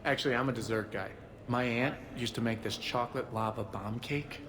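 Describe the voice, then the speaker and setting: A man's voice: mid-range voice, a little fast, a crowd in the background, close to an everyday voice. An actor playing a friendly, easygoing man in a video game, speaking casually. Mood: dreamy, relaxed. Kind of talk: video game character acting.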